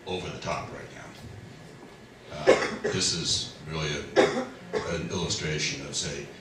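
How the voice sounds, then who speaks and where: Deep voiced planner guy explaining numbers to a crowd, lots of ums, noisy roomy recording. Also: steady tone, slow pace. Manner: explanatory, loose with restarts and fillers. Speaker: planning consultant. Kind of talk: explaining at a public meeting. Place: community hall. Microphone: distant room mic. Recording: echoey hall from far off, noisy.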